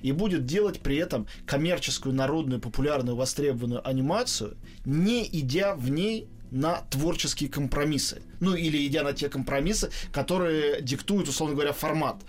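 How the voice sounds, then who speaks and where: Higher-voiced male interviewer, wordy and searching. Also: a little fast, hardly any pauses. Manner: wordy, searching, self-correcting questions. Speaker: interviewer, show host. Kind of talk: conversational interview questioning.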